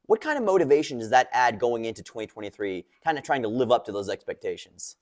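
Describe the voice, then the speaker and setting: A man's voice: medium pitch, sing-song, quick pace, a little mellow. A man hosting a sports interview with an upbeat, announcer-like delivery. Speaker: interview host and radio play-by-play man.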